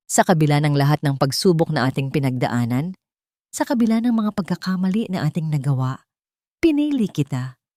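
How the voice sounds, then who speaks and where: A woman voicing a loving, devoted bride who speaks in earnest, measured phrases in a radio drama story. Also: on the low side, darkish tone, no room sound, clean studio sound.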